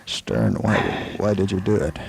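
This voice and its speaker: A man playing a steady, weary frontier marshal in a western radio play, with a halting, folksy, deliberate delivery. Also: low voice, vocal fry, background noise, heavily acted. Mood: urgent.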